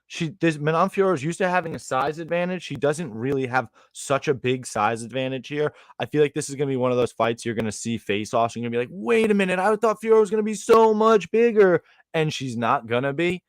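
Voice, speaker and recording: A man co-hosting a podcast, breaking down fight betting lines in an animated, slangy way. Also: on the high side, a little fast, few pauses, no room sound, clean studio sound. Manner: animated, slangy, calls people dog. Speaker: podcast co-host, betting analyst. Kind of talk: casual sports betting chat.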